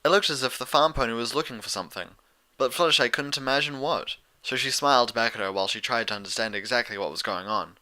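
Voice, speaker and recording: A man narrates a story in a very bright, forward voice at a medium pitch. It is scripted audiobook reading in a storyteller's style. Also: fast. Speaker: audiobook narrator of fan fiction.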